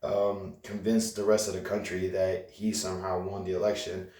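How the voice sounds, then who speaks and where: Rambling low-voiced young man, echoey room. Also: normal speed, far from the mic. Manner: rambling, animated, with frequent fillers and restarts. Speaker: vlogger. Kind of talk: casual vlog rant.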